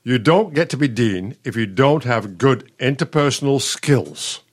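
Actor as an animated, testy elderly husband. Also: male voice, mid-range voice, unhurried, slightly rough. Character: exasperated retired dean, smug but caring elderly husband. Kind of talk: radio play acting.

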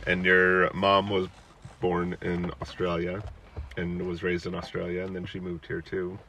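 A middle-aged man, a podcast host and parent, chatting casually with his child, relaxed and chuckling, asking lots of questions. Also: sits low, a little slow, noisy background. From United States.

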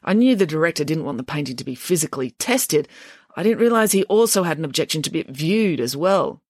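A woman's voice: sits low, animated, a little fast, a little mellow, no room sound, studio quality. A woman voicing a big burly uncle, patient and matter-of-fact, in a story.

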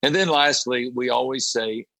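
Man, pastor, raspy voice, halting speech, bright tone, dry sound. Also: mid-range voice, no echo, low-quality recording. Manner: halting, repeats words and clears throat often. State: raspy voice after a late night. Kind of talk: conversational interview about a prayer group.

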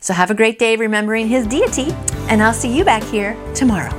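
A woman's voice: on the high side, animated, a little fast. Woman reading devotionals over music. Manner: encouraging, friendly direct address to listeners. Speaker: devotional podcast host. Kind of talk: devotional reading with chatty personal commentary.

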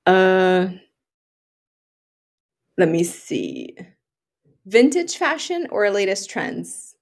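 Effusive woman hosting a relaxed interview. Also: mid-range voice, extremely slow. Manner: effusive, often laughing. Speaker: podcast host interviewing a guest. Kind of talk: casual conversational interview.

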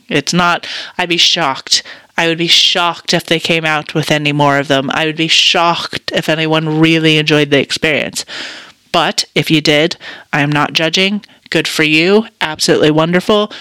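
A woman hosting a casual solo podcast check-in, chatty and self-deprecating, slightly raspy from a sore throat. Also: low voice, a bit bright, no room sound. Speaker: podcast co-host.

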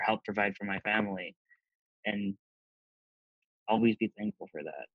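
A man's voice: fairly low pitch, moderate pace, no room sound, lo-fi sound. A young man from Zambia raised in the Midwest, talking easily in a casual interview with lots of "you know". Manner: easygoing, many fillers like "you know".

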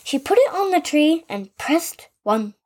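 A woman reading a children's picture book aloud as podcast host, in an animated, expressive way with asides. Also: high-pitched, a little slow. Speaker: story reader, podcast host.

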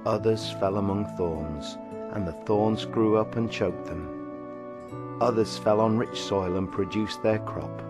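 Deep-voiced man reading scripture. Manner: measured, steady reading. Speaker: scripture reader. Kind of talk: gospel reading. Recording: reading over background music.

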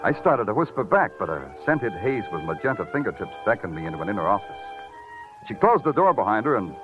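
An actor playing a weary, wry, hard-boiled police detective in 1940s radio noir style, clipped and sardonic. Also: male voice, fairly low pitch, very animated, a little fast, narrow, tinny audio, over music. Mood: wry, poetic narration. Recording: band-limited vintage radio recording.

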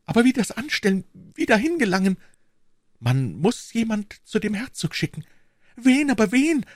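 An anguished queen from a storybook, played by a man, pitched high above the narration. She is fearful and imploring, with breathless exclamations and sweeping, dramatic swings of pitch. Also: sing-song, normal speed, no echo, studio quality. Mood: frightened, urgent.

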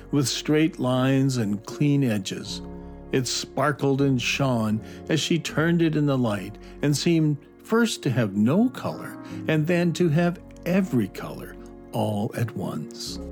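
A man narrating a scripted children's adventure story in a measured, dramatic storytelling manner. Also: mid-range voice, darkish tone. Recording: narration over clear background music.

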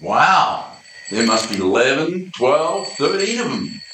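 A man's voice: mid-range voice, big pitch swings, slow, reverberant, background noise. A man playing a bumbling, easily impressed detective in an amateur radio play. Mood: excited.

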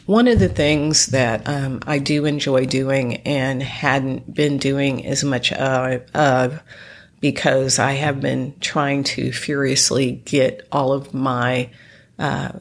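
Woman, congested nasal voice, gravelly fry, slow rambling solo podcast. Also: a bass voice, fairly even intonation. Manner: rambling, many ums and restarts. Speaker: podcast host. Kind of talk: casual solo podcast talk.

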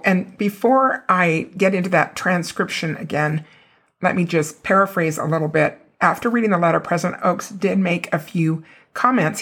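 A woman with a hoarse, raw voice, hosting a casual religious podcast and rereading quoted passages for emphasis. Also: fairly low pitch, a bit bright. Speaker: podcast host.

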